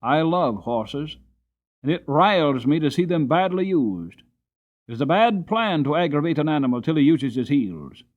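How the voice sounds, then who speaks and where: A man's voice: fairly high pitch, big pitch swings, normal speed, muffled recording. A man voicing a plain-spoken, horse-loving groom with a folksy, frank manner in a storybook reading. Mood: frank indignation.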